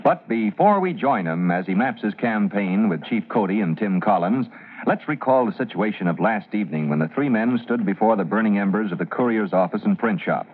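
An American man performing as the stirring, emphatic announcer of a firefighter adventure serial on old-time radio. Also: fairly low pitch. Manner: theatrical, emphatic. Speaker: announcer and narrator. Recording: muffled vintage radio recording.

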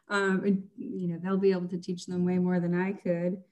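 A woman's voice: on the low side, flat delivery, moderate pace, mellow. A young woman chatting as a guest in an interview, laughing often and using many fillers. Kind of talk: casual interview chat.

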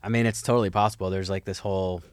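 A man's voice: low-pitched, steady tone, very fast, clear, resonant tone, no echo, clean studio sound. A young man co-hosting a podcast, rambling casually with many filler words while musing on speculative ideas. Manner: rambling, lots of "like" and "who knows". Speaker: podcast co-host. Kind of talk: casual chat.

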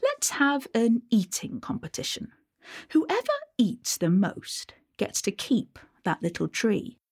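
A woman's voice: on the high side, wildly swooping pitch, slow pace, a little mellow, slightly rough, exaggerated voice. A woman voicing a small, clever, fearless boy in a cheeky, confident tone. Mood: cunning.

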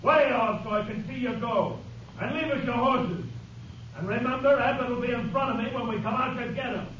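A man's voice: very high voice, a little fast, fairly bright tone, recorded from a distance. An actor playing a desperate, taunting gunman outlaw holding a hostage in an old-time radio western. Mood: taunting, commanding. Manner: taunting, threatening Western tough talk. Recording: muffled, noisy, echoey 1950 radio recording.

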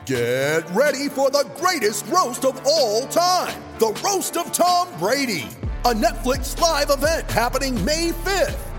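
Very high, lively female ad announcer. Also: takes it slowly. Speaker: advert announcer. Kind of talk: scripted promotional voice-over. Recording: music bed under the voice.

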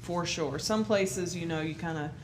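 A woman singer in casual conversation, agreeable and quick to laugh, saying yeah often. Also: lowish voice. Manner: agreeable, many yeahs and small laughs. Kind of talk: casual interview chat. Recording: noisy background.

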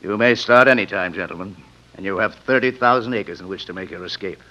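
A man's voice: deep voice, brisk, bright, forceful tone. A man playing a cold, mad and courteous villain in a Western radio drama, speaking with suave, formal menace. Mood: cruel, mocking. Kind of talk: 1950s Western radio acting. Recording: muffled, noisy old radio recording with clicks.

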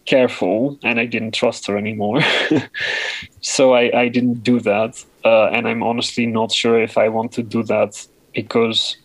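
A man with a deep voice giving a personal account in an interview, often pausing and searching for words. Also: little pitch movement, no room sound, poor audio. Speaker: interview guest.

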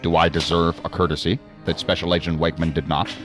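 A man's voice: sits low, flat delivery, fast, over music, lightly acted. A man playing an unflappable, dryly defiant assistant director in a science fiction audio drama, formal and authoritative.

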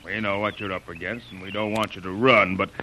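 Gruff jungle trek boss, very low forceful voice, folksy clipped talk, noisy old radio serial. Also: male voice, flat delivery, a little fast. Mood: firm, pressing. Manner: plain-spoken, folksy clipped phrasing. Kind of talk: 1930s radio adventure acting.

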